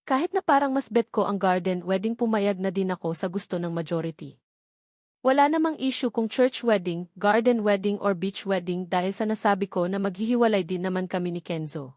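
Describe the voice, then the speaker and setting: A woman's voice: mid-range voice, a little fast, darkish tone, dry recording, studio quality. A woman with a Filipino accent reading a first-person novel as a scripted narration. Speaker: audiobook narrator.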